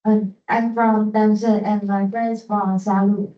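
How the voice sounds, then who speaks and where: A woman student from Taiwan giving a halting class presentation, searching for words. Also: medium pitch, level tone. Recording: echoey classroom, dull.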